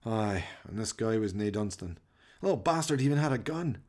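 A man's voice: lowish voice, expressive, brisk, darkish tone, lightly acted. A man playing a frazzled but affectionate male hero in a radio play. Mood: weary, angry. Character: frazzled, affectionate man, chimp's best friend.

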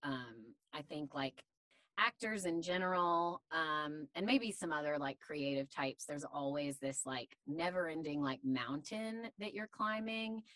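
Deep-voiced woman, bright and chatty. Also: animated, a little slow, no room sound. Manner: candid, chatty, many fillers. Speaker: voice actor. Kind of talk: casual interview chat.

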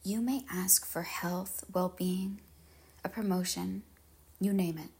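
A woman leading a guided meditation in measured, solemn phrases with pauses, her husky voice trailing into breathiness. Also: mid-range voice, steady tone, darkish tone.

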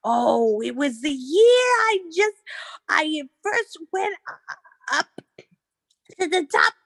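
A woman's voice: high-pitched, animated, slow pace, fairly bright tone, no echo, heavily acted. A woman playing a chatty, vain elderly grandmother who rambles and reminisces in an improvised comedy scene. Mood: wistful rambling.

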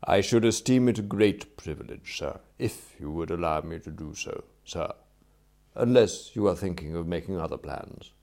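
Give me a man playing an unflappable English valet from a comic storybook, formal and deferential, measured and courteous, in a lowish, slightly gravelly voice. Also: animated, a little mellow. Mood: deferential, composed. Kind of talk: acted audiobook dialogue.

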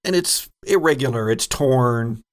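A man narrating a tabletop horror game as game master, descriptive and unhurried, with frequent ums. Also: mid-range voice, lively intonation.

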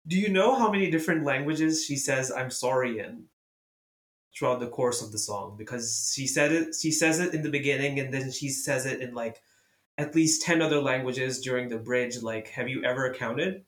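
A man's voice: medium pitch, normal speed. A young man whose first language is Urdu or Hindi, hosting a casual music podcast and talking with enthusiasm and lots of fillers. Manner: enthusiastic, lively, many fillers. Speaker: podcast host. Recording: echoey room.

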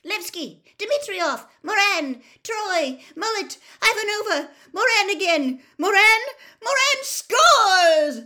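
A woman voicing an excited male sports announcer in a storybook, shouting with booming, drawn-out exclamations. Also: exaggerated voice. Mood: mounting excitement.

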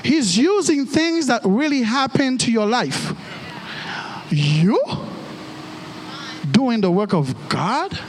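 A man with a Haitian accent, a native Creole and French speaker, preaching a storytelling sermon in an animated way and acting out dialogue with exclamations. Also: extremely high pitch, slow pace, long pauses, slight background noise.

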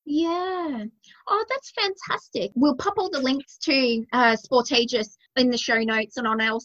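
A woman's voice: on the high side, fairly bright tone. A woman podcast host, chatting in an effusive, enthusiastic way. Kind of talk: conversational interview. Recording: slightly dull audio.

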